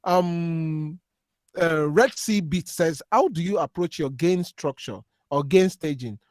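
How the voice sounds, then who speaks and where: A man's voice: on the high side, takes it slowly, no echo, clean studio sound. A man, co-hosting a casual chat, reading out viewer questions and reacting in slang.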